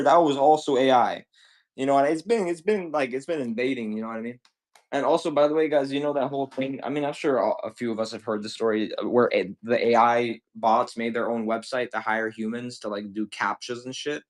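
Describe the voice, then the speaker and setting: A man's voice: medium pitch, darkish tone. Twenties male streamer, mid-range voice, loose slangy profane chatter. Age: 20s. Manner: rambling, profane, slangy, lots of "bro". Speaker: livestream seller. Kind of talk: casual livestream chat.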